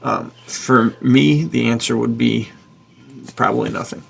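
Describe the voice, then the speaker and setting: A man hosting a podcast in casual chat, talking in an informal, enthusiastic, emphatic way with a husky, gravelly voice. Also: mid-range voice, measured pace, a little hiss or hum, rough recording. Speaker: podcast host, author and publisher.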